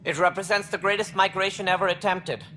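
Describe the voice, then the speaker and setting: An actor playing a stern, proud alien administrator in a video game, speaking in formal, measured, clipped phrases. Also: male voice, high-pitched, little pitch movement, sharp tone, a little hiss or hum. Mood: earnest, proud. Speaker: alien colony director.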